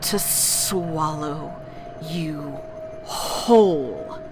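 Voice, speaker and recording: A woman's voice: sits low, background noise, pitched below the performer's own voice. A woman voicing a strange menacing creature from a scary storybook, stretching out each word ominously. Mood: ominous threatening. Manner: very slow, drawn-out, ominous delivery. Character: strange menacing chimney creature with big claws and teeth.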